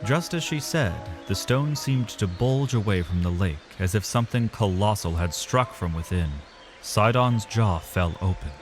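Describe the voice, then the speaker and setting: Low-voiced man narrating a dramatic tale. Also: lively intonation. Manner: measured, literary storytelling. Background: music.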